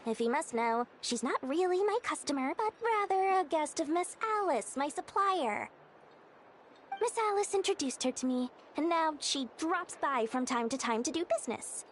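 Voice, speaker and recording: High-pitched, animated greedy merchant girl. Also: a little hiss or hum, exaggerated voice. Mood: boastful, grudging. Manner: salesy, exaggeratedly courteous, theatrical. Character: greedy, flattering young female merchant, theatrical and self-important. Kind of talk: anime-style video game voice acting.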